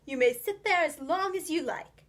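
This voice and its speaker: Woman putting on a sly gentleman fox. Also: very high-pitched, lively intonation, moderate pace. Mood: smooth, courtly. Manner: suave and formal, turning harsh and commanding. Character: sly, well-dressed male fox gentleman, falsely polite.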